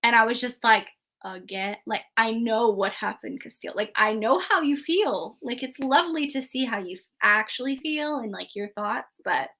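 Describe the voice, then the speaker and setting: Bright, higher-pitched woman chatting casually. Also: normal speed, hardly any pauses. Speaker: book review show co-host. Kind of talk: casual chat about books.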